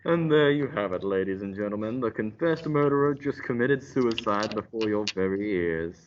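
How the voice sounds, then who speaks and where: A man's voice: expressive, moderate pace, lightly acted. Young man as a grandiose radio announcer, muffled recording. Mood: dramatic announcing. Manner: grandiose, declamatory. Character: pompous, unflappable male live radio show announcer. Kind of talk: old-time radio announcer parody. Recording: dull, noisy recording with rumble.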